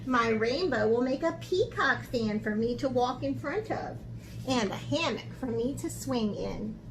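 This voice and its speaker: A woman art teacher talking to young children in an expressive, encouraging way, as in a lesson or picture book read-aloud. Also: a little high, a little slow, background noise. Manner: expressive, encouraging talk aimed at young children, with dramatic gasps.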